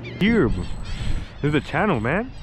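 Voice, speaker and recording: A man's voice: a little high, wildly swooping pitch, normal speed, noisy background. A young man hosting a casual fishing vlog outdoors by the water, playful and joking with viewers. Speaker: fishing channel host.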